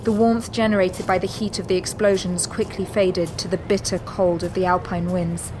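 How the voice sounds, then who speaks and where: A woman's voice: fairly low pitch, flat delivery, moderate pace, noisy background, close to an everyday voice. A woman giving dramatic, scripted narration as the storyteller of an audio drama. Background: music.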